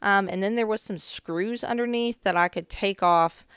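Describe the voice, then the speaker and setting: A young woman, a little hoarse from a cold, casually narrating her own renovation footage with chatty asides. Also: mid-range voice, moderate pace, no room sound, studio quality. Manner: chatty, relaxed, with frequent "um" asides. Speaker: DIY renovation vlogger.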